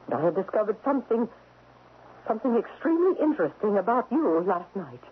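An actress playing an imperious, wealthy widow in a radio drama, sharp and unyielding, with precise, haughty, formal diction. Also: female voice, mid-range voice, big pitch swings, fairly quick, breathy edge. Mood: coolly accusing. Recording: muffled lo-fi old radio broadcast.

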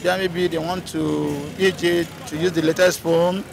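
A man's voice: a little high, flat delivery, normal speed, vocal fry. A young Nigerian man in a street interview, hesitant and searching for his words. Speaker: passerby interviewee. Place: busy city roadside outdoors. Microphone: handheld mic held by interviewer. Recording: outdoor street with traffic noise.

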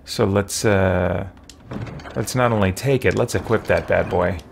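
A man's voice: low voice, unhurried, vocal fry, slight background noise. A man in his forties giving casual gameplay commentary as a streamer, musing and hesitant as he thinks aloud. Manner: hesitant, musing, talking to himself. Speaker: game streamer. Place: small indoor room at home with shelves.

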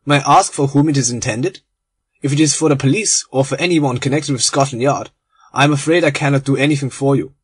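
A man's voice: medium pitch, a little fast, slightly rough, no room sound, lightly acted. A man reading aloud, voicing a genial, proud little German bomb-maker in a storybook. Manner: cheerful, effusive, courteous.

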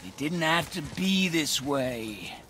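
A man's voice: fairly high pitch, lively intonation, slow pace, slight background noise, exaggerated voice. An actor playing a mocking, unhinged man with godlike powers, goading theatrically in a dramatic video game. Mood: scornful anguish. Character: taunting, unhinged male stranger with godlike powers. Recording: loud music over the voice.

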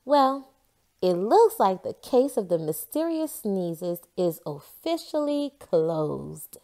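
A woman reads a children's storybook aloud in an expressive narrating style. She takes her time, and her medium-pitched voice rises and falls in a very lively way. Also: slow pace. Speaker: storybook reader.

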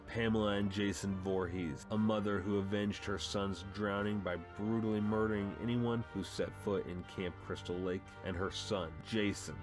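A man hosting and narrating a comic book story as a dramatic reading. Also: fairly low pitch. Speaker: channel host and narrator.

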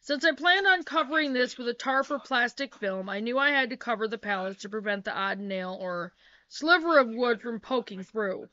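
Bright-voiced woman reading a how-to. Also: a little high, normal speed, dry recording. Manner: plain, practical, with small self-corrections. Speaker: homesteading vlogger. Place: small wood-paneled room indoors. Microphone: laptop webcam. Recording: dull, lo-fi webcam sound.

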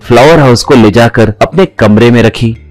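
A man's voice: medium pitch, normal speed, darkish tone, no room sound, faint music behind. A man narrating a scripted story.

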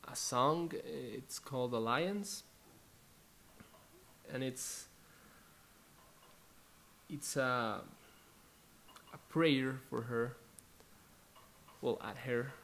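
A young man in his twenties from Colombia, Spanish-speaking by birth, with a Colombian Spanish accent, introducing worship songs at home in a soft-spoken, halting way. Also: mid-range voice, creaky, slight background noise. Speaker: worship musician. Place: living room at home, indoors. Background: faint acoustic guitar.

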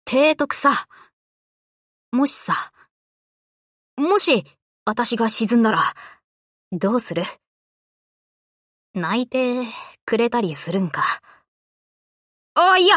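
An actress voicing a brash, cocky but loyal young tomboy warship girl in an anime game, with rough, boyish, casual speech. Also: fairly high pitch, big pitch swings, slow pace, long pauses, fairly bright tone, dry recording, studio quality, heavily acted. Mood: hesitant, tender. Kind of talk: Japanese anime game character voice lines.

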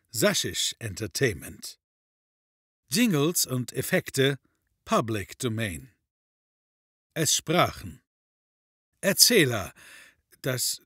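A man narrating audio drama credits in a scripted announcer's delivery, with a husky voice. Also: medium pitch, fairly bright tone, no echo, clean studio sound.

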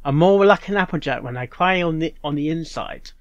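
A man giving casual live reaction commentary, excitable and apologetic, often interjecting. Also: fairly high pitch, fairly quick, no echo, dull audio. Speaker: reaction video host.

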